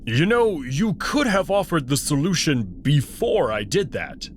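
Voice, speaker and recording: A man's voice: a little high, very animated, unhurried, a little hiss or hum. A man voicing an anxious, regretful male alien wolf in a visual novel reading. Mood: worried.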